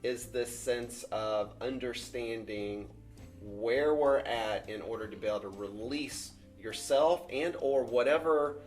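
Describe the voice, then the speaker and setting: A man's voice: mid-range voice, measured pace, soft background music. Friendly man asking interview questions.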